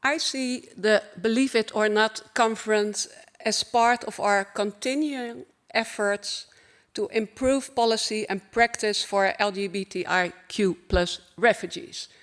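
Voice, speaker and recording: A woman with a Dutch accent giving a formal opening keynote at a conference, earnest and measured, in short phrases. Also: medium pitch, steady tone. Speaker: conference speaker.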